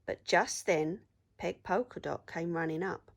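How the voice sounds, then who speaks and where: A woman teacher reading a story aloud to children in an expressive storytelling style. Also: lowish voice, measured pace, creaky.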